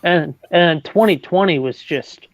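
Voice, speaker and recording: A man's voice: a little high, measured pace, dry recording. A man in his late twenties hosting a casual livestream chat, stumbling and repeating words and laughing often. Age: 20s. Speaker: livestream host. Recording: dull lo-fi, dry.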